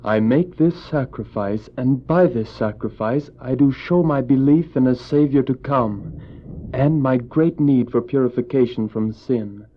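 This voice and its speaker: An actor playing Abel, a pious, earnest younger brother and shepherd who speaks formally and preachily in a religious audio drama. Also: male voice, mid-range voice, a little slow, natural voice. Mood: reverent. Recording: dull old cassette audio drama.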